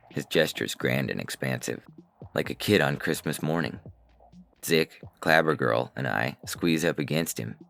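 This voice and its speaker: A man narrates science fiction in the first person. His voice is extremely low with plenty of fry. The telling is wry and conversational, with faint music behind. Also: fairly bright tone. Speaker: narrator and reader.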